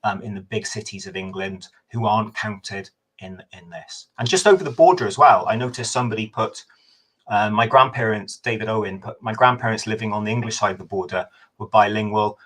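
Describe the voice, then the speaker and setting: A history professor talks through his subject over a video call. His voice sits low, and he speaks in a measured, thoughtful way, now and then restarting a phrase to correct himself. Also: a bit bright. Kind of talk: explanatory talk in a webinar.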